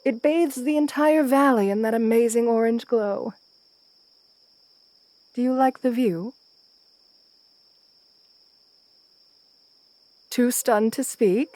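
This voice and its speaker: A woman's voice: fairly high pitch, darkish tone, dry recording, natural voice. A woman playing a seductive, assertive countess in an intimate ASMR roleplay, speaking in a genteel, soothing, flirtatious way. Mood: flirtatious, admiring.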